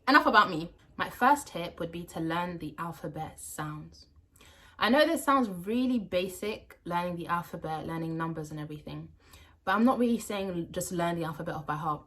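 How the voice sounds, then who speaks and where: A young woman from France with a London accent and French as her first language, giving language-learning tips to camera in a chatty, animated way. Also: fairly low pitch. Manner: chatty and animated, with playful asides. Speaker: language vlogger.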